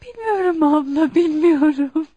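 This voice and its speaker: A woman's voice: sits high, unhurried, mellow, no room sound, highs cut off. An actress playing a fragile, troubled young woman in a radio drama, speaking in a plaintive, anguished manner. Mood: confused, distressed.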